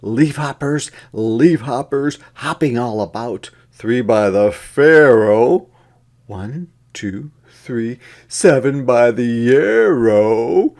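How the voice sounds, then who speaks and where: Slow-paced man reading aloud to children. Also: medium pitch, lively intonation, darkish tone. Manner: deliberate, sing-song counting and repetition for young children. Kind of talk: interactive read-aloud of a children's counting book.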